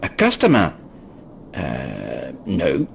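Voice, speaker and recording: A man's voice: very deep voice, huge pitch swings, extremely slow, creaky, slight background noise, heavily acted. A man playing a timid, evasive herbalist in a video game, speaking hesitantly and with nervous politeness. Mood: nervous, polite. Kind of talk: video game cutscene voice acting.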